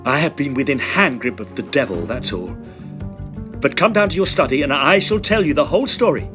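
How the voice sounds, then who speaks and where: A man's voice: a little high, expressive, a little fast, music in the background, lightly acted. An actor playing an intense, shaken young Victorian man in a radio drama, speaking with urgent, dramatic emphasis. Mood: grave, intense.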